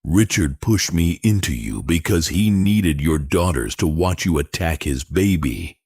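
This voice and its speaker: A man's voice: low voice, expressive, a little slow, a little mellow, husky, exaggerated voice. A man voicing a wary, betrayed younger pregnant bride in a dramatic story, speaking directly. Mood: bitter.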